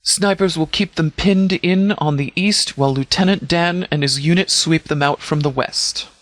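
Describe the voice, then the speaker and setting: A man's voice: fairly high pitch, fairly bright tone, dry recording. Stern, formal sheriff acted by a man, close and dry. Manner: formal, measured, commanding. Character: stern, authoritative male sheriff of a wasteland militia. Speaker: video game voice actor. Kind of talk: scripted video game character dialogue.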